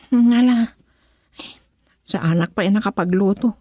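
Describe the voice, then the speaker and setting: An actress with an Ilocano accent playing a busy, apologetic working wife and schoolteacher in a radio drama. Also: female voice, mid-range voice, slow pace, dark tone, dry recording. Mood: tired, casual.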